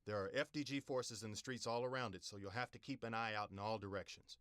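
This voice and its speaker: An actor playing a military officer giving a mission briefing in a video game, in a crisp, matter-of-fact way. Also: male voice, on the low side, steady tone, fast, fairly bright tone, no echo, studio quality, natural voice. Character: male military officer briefing soldiers, brisk and businesslike. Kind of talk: scripted game dialogue.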